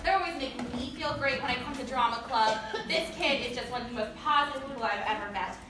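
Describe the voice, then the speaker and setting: A woman's voice: high voice, fast talker. A woman speaking as a drama teacher, giving an informal, gushing and colloquial award speech to parents. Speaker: drama club teacher presenting student awards. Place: indoor performance space before an audience. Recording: echoey room, distant noisy mic.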